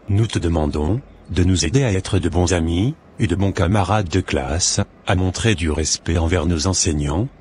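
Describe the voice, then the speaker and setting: Very deep-voiced, earnest devout schoolboy son, played by a man. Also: darkish tone, lightly acted. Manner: earnest, reverent, measured. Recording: noticeable background noise.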